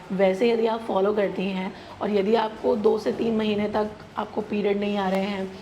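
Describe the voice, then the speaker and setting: An Indian woman speaks as a doctor hosting a health explainer. Her voice sits at an average pitch, and she addresses the listener directly in an instructive way, mixing in English terms. A faint noise runs behind her. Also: mellow, low-quality recording. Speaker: gynecologist presenting a health channel. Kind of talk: explanatory medical advice to camera.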